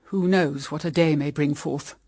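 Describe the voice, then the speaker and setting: A woman's voice: low-pitched, steady tone, normal speed, darkish tone. An actress with a British accent playing an evasive, anxious older mother-in-law in a period radio drama, hesitant and guarded. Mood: grateful.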